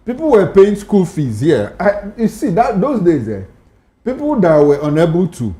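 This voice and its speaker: A man's voice: sits high, huge pitch swings, moderate pace, warm tone, reverberant. A man with a Nigerian accent giving conversational, emphatic commentary as a talk show panellist, repeating phrases to drive points home. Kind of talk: conversational commentary on current affairs.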